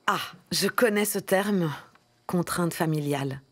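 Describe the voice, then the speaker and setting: An actress playing a timid, deferential office employee who stammers, in a satirical radio drama. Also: female voice, lowish voice, measured pace, a bit bright, slightly rough. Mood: timid, puzzled.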